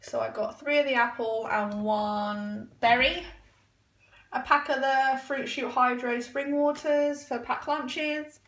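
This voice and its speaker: A woman's voice: high-pitched, steady tone, takes it slowly, fairly bright tone. A young woman chatting casually through her grocery haul at home, hesitant, with frequent ums and "I think". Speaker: vlogger showing a grocery haul. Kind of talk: casual chat. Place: home kitchen, indoors.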